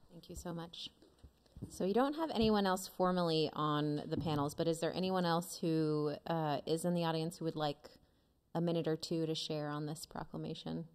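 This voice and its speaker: Woman running a board meeting, low voice, polite quick thank yous. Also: a little mellow. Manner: polite, brief thanks and prompts. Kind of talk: chairing a meeting.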